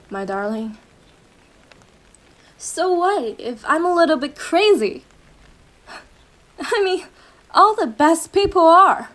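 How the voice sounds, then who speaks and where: Slow, sweetly unhinged yandere girlfriend, acted by a woman. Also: high-pitched. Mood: manic devotion. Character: obsessive, possessive yandere girlfriend, sweet yet menacing. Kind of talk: roleplay audio drama, intimate monologue addressed to listener.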